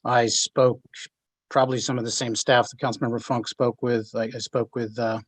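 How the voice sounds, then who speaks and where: A man's voice: mid-range voice, flat delivery, moderate pace. A man serving as a city councilmember, listing his contacts in a matter-of-fact way, sounding distant from the microphone.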